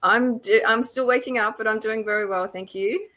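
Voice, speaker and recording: A woman with an Australian accent speaking as a podcast guest, chatting casually in halting, reflective phrases. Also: a little high, fairly even intonation, a bit bright. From rural Australia. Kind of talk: casual interview chat.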